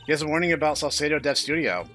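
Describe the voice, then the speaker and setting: Man reading as earnest, bright young woman programmer. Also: on the high side, animated, very fast, soft background music. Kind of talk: visual novel read-aloud.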